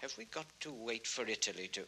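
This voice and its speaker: Offhand, sharp-toned young nephew. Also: male voice, medium pitch, expressive, fast talker. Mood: impatient, offhand. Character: young nephew, casually impatient with his uncle. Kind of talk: 1960s children's record drama acting. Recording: faintly noisy 1960s record.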